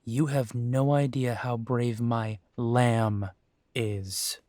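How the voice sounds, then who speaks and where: A man's voice: medium pitch, little pitch movement, very slow, a little mellow, no room sound, clean studio sound. A man playing a young, protective and determined werewolf in an audio roleplay, speaking earnestly. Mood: teasing, fond.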